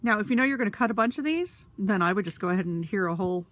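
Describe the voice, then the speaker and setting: A woman's voice: mid-range voice, expressive, rapid-fire. A woman with a stuffy, sniffly nose, chatting casually with lots of fillers while she demonstrates a craft tool. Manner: talks while handling tools. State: congested, sniffly.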